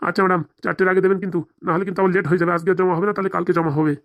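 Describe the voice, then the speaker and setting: A man's voice: high-pitched, level tone, very fast, dry recording. A man voicing timid, fretful police officers in a comedy cartoon, hesitant, stammering and deferential. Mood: hesitant, pleading. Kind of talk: comic cartoon dialogue.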